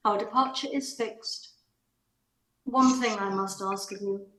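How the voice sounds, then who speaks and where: A woman playing a restrained, elegant married lady, wistful yet resolute, in a measured amateur play reading. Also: medium pitch, fairly even intonation, sharp tone, roomy sound, slight background noise, poor audio. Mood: frank, tender.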